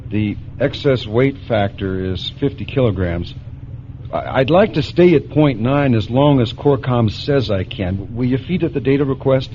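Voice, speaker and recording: An actor playing a grave, compassionate spaceship pilot in a science fiction radio drama, speaking in a measured way that halts when he is upset. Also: mid-range voice, animated, mellow, slight background noise, natural voice. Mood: tense, official. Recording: dull lo-fi radio drama, music underneath.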